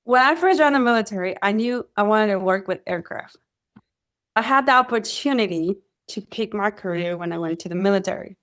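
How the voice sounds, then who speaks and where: A woman's voice: medium pitch, brisk. Woman telling her story. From Mexico. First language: Spanish. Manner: animated storytelling, acts out quoted voices. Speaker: radar technician guest. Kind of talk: conversational interview.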